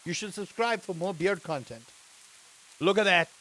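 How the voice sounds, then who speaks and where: A streamer from England chats easily with his live audience while he plays. His voice sits a little high and swings up into excited exclamations, then drops back to a relaxed, running commentary. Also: normal speed, low-quality recording. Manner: animated, rising to excited exclamations; chatty, addresses chat directly. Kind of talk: live-streamed gameplay chat.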